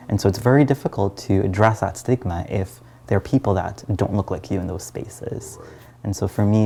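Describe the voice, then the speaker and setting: Low-voiced young man telling his story. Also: creaky, warm tone, slight background noise. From Trinidad and Guyana. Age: young adult. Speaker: community worker telling personal story. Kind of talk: personal documentary interview narration.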